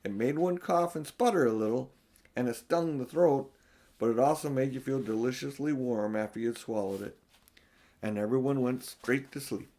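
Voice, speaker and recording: An older man reads a children's story aloud as an audiobook narrator. His pitch sits in a middle range, and he tells the tale expressively. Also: moderate pace, dark tone. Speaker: audiobook reader.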